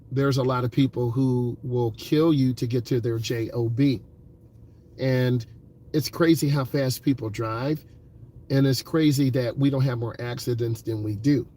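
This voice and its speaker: A driving instructor speaks from inside his car, earnest and encouraging, stacking up repeated points with plenty of "uh"s. His voice sits at an ordinary middle pitch. Faint road ambience hums behind him. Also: warm tone, slight background noise. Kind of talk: vlog talking from a car.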